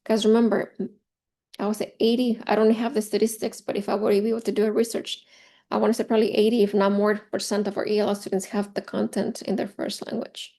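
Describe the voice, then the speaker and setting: A woman's voice: medium pitch, level tone, quick pace, warm tone. A woman in her forties leads an informal training session for teachers. She is a native Spanish speaker with a Spanish accent. Her mid-range voice is conversational, and she repeats phrases to drive a point home. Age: 40s. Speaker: teacher trainer leading a professional development session.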